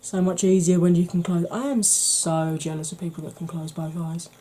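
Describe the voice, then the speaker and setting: A woman from the UK, a beauty vlogger talking through a makeup tutorial in a quiet voice that keeps slipping into whispers. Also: on the low side, steady tone, moderate pace. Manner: quiet, soft-spoken, drifting into whispers.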